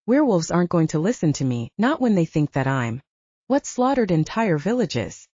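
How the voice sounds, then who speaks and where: A woman's voice: lowish voice, big pitch swings, normal speed, dark tone, husky, dry recording, highs cut off. A woman voicing a proud, stubborn young male enforcer with a curt, stern manner, in an audiobook. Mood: defiant. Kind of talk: audiobook character dialogue.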